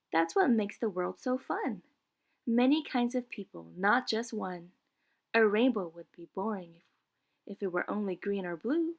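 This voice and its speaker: A woman preschool teacher reading a children's picture book aloud in short, deliberate phrases for young listeners. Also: on the high side, vocal fry.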